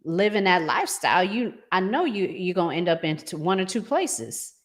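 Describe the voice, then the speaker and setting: A middle-aged American woman hosting a conversational relationship show, speaking in an emphatic, deliberate way with strong opinions. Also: on the low side, expressive. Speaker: podcast host.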